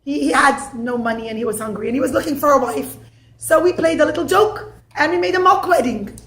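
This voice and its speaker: A woman's voice: sits high, brisk, a bit bright, echoey room. Woman doing a bubbly young rich girl. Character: cheerful, playful young woman, rich man's daughter.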